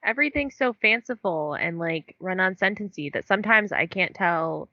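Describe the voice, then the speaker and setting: A woman's voice: on the low side, lively intonation, moderate pace, pushed and bright, dry recording. A young woman co-hosting a book podcast, chatting casually with lots of fillers and laughing at herself. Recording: dull dry lo-fi recording.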